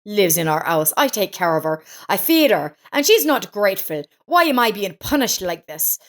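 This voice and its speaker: A woman's voice: medium pitch, big pitch swings, normal speed, fairly bright tone. A cruel daughter-in-law from an audio story, acted out by a woman narrator. She is indignant and scolding, and speaks in accusations. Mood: raging.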